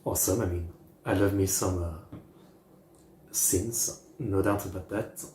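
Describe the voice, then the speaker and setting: A man streamer giving casual live reaction commentary, talking haltingly in short phrases with pauses. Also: low voice, a little mellow, a little hiss or hum. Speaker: streamer reacting to music videos. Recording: roomy echo, music playing underneath. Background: music from the videos.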